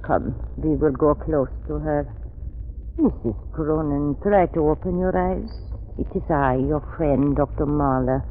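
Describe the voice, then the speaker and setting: A woman's voice: sits low, unhurried. A solemn, pleading European lady scientist speaks with earnest compassion. It is old radio drama, thin and tinny with faint hiss. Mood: solemn, tender. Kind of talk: 1930s radio acting. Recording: tinny, hissy 1930s radio recording.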